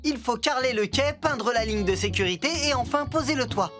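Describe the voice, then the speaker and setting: An eager young cartoon apprentice builder lad voiced by a woman, scatterbrained and easily flustered. The voice is fairly high and hesitant. Music plays behind it. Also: animated, fairly quick, lightly acted. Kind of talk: dubbed children's cartoon acting.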